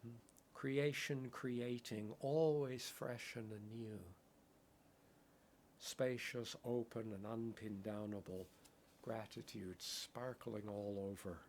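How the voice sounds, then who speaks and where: A male meditation teacher gives an unhurried, contemplative talk and lets poetic images unfold at a very slow pace. He often pauses to check in with his listeners with a quick "right?". A faint hiss sits quietly behind his voice. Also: lowish voice, steady tone. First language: English.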